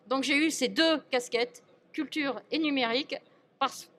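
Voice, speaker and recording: A woman speaking as an elected local official in an informal interview, halting and often repeating and restarting her words. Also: high voice, a bit bright, slight background noise. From France.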